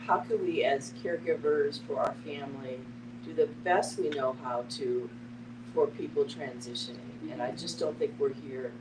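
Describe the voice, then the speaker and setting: A middle-aged woman speaks from well back from the microphone in an echoey space with a clear hum. She is earnest and deliberate, stressing her points as she shares a personal account with a group. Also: low-pitched, steady tone. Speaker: panel participant, family caregiver. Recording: echoey, distant mic, noisy hum.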